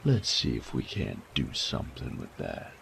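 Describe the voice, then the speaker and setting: A man playing a sadistic, teasing bandit in an audio roleplay, with a gravelly voice and a taunting, coarse drawl. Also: huge pitch swings, normal speed, slight background noise, exaggerated voice. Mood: teasing, seductive. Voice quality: gravelly, creaky low voice. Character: sadistic bandit rogue, menacing, teasing, mocking, flirtatious. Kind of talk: roleplay audio drama acting.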